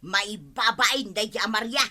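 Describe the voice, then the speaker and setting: A woman's voice: fairly high pitch, takes it slowly, bright, forceful tone, full character voice. A woman playing an indignant, quarrelsome village woman with an Ilocano accent in a radio comedy-drama, arguing emphatically that she is the wronged one. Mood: defiant. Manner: argumentative, emphatic.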